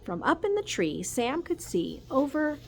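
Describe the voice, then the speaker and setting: A woman's voice: high voice, big pitch swings, a little slow, gravelly. Woman reading aloud over background noise. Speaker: teacher reading aloud to children. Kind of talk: storybook read-aloud.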